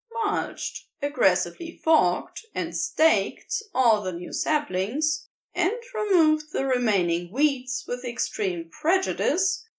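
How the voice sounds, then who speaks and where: A woman reading a story aloud as scripted narration, in a measured and expressive storytelling manner. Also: fairly high pitch. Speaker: podfic reader.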